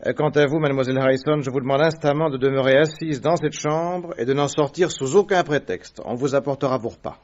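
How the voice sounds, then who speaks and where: A calm, wry detective gives orders, played by a man in a vintage radio play. His voice sits at an ordinary pitch and comes through a dull, lo-fi old broadcast recording. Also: fast, few pauses, lightly acted. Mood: commanding. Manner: dry, commanding, wry. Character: calm, ironic male detective, middle-aged. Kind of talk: vintage radio drama acting.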